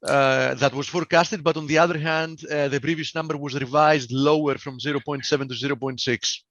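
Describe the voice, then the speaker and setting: A man's voice: fairly high pitch, fairly even intonation, brisk, fairly bright tone, no room sound. A man with a Greek accent talking through market charts and economic data on a live webinar, with many fillers and hesitations. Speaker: market analyst. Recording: dull lo-fi online call audio.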